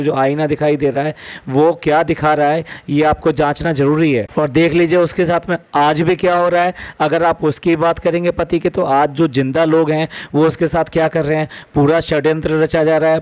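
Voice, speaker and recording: Guy doing opinionated commentary, kinda high voice, repeats himself for emphasis, throws out rhetorical questions. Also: darkish tone. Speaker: commentary vlogger.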